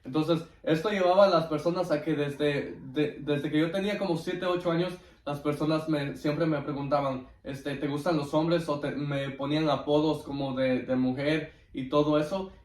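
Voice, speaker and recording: Man, devout and halting testimony, slightly high voice, away from the mic. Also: fairly even intonation. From Guatemala. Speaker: interview guest giving testimony. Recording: roomy echo, distant microphone.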